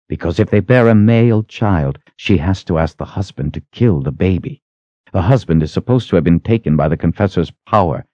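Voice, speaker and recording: A menacing witch woman from a fantasy audiobook, acted out by a man. She is scornful and commanding, throwing out threats and accusations with wildly swinging pitch. The recording is close, dry and slightly muffled. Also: dark tone, dry recording. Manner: hissing threats, accusing rhetorical questions.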